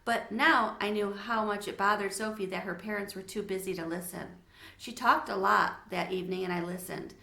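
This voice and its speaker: A woman's voice: medium pitch, steady tone, normal speed, gravelly, pushed and bright. A woman reading a children's storybook aloud expressively, like a teacher, with asides to her listeners. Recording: echoey untreated room.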